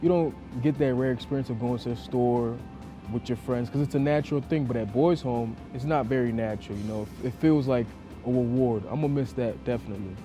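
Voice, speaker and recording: A man's voice: medium pitch, fairly quick, music in the background. A young man, a graduating student, giving casual, hesitant interview answers with restarts.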